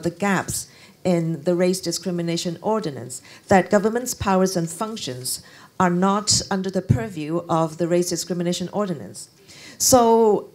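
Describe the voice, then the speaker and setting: A middle-aged woman gives an explanation on a conference panel. Her voice is a little low. She talks haltingly into a handheld microphone, repeating words as she searches for the next. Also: a little mellow. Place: conference function room, indoors.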